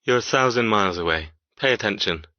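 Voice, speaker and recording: A man's voice: fairly low pitch, animated, brisk, dry recording, close to an everyday voice. A man playing a cold, controlling, arrogant young man in an audiobook romance, speaking in a clipped, formal, commanding way. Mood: stern, impatient. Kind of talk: audiobook character dialogue. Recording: dull, muffled, dry recording.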